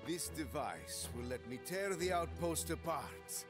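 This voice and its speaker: A man's voice: fairly high pitch, animated, unhurried. A man playing a rugged, wry, steadfast action hero in a video game, speaking in short, informal lines. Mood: determined. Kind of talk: video game cutscene acting. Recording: very loud music underneath.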